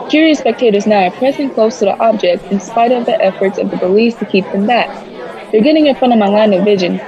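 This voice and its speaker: High-voiced dramatic woman playing a radio field reporter. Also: warm tone, lo-fi sound, close to an everyday voice. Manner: dramatic, formal on-the-spot describing. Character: excited radio field reporter, a woman, describing a mysterious landing. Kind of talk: live radio news commentary acting. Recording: busy crowd noise around the voice. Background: crowd in a public space.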